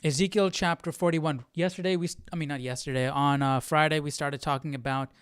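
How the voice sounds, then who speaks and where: Clear, higher-voiced man, casual Bible teacher. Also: fairly even intonation, no echo, clean studio sound. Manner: halting, conversational, often checks in with "right?". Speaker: host of a live Bible study.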